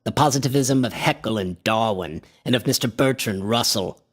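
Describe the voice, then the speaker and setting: Man acting a husky, grandiose obsessed visionary. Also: mid-range voice, normal speed, natural voice. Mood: scornful, lofty. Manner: impassioned, declamatory, pompous. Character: obsessed male antiquarian visionary, eccentric and grandiose. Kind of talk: theatrical radio horror drama.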